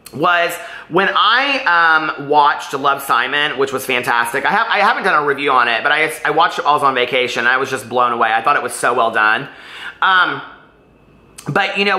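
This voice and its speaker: Man, book vlogger, crisp bright voice, casual, emphatic, digressive, roomy echo. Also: on the high side. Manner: chatty, gushing and emphatic, often digressing. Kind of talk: casual chatty book-tag vlog.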